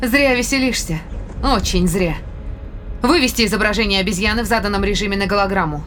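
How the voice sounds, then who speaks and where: An actress playing a brisk, curious spaceship scientist with a dry, commanding manner in a science fiction audio drama. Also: female voice, medium pitch, a bit bright, a little hiss or hum, natural voice.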